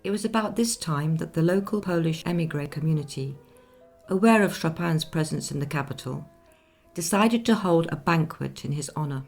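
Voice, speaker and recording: A woman playing a composed, discreet 19th-century lady who recounts her memories in formal, measured speech for a radio play. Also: deep voice, creaky, darkish tone, soft background music. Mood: composed, sympathetic. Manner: measured, formal, genteel storytelling.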